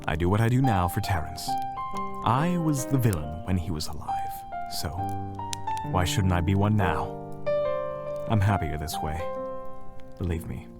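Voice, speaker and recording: A man's voice: sits low, expressive, a little slow, long pauses. A man playing a bitter, proud villain in a fantasy audio drama, speaking in a theatrical, sardonic way. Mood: resigned, bitter. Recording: music behind the voice.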